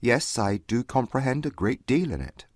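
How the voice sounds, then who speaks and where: A man playing a proud, reserved wealthy young gentleman in a dramatized audiobook, formal and grave. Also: mid-range voice, sing-song, normal speed, no room sound, studio quality. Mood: grave, critical.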